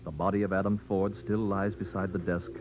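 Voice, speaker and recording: A man's voice: low-pitched, flat delivery, brisk. A man announcing and narrating a 1940s radio drama in scripted style. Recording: dull old radio recording with music.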